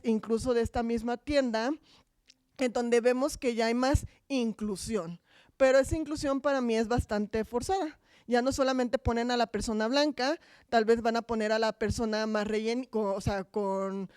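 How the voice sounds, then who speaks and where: A woman's voice: a little high, a little mellow, clear, resonant tone, no room sound. A Mexican woman giving an informal academic talk, colloquial and wry, often posing rhetorical questions. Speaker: academic lecturer.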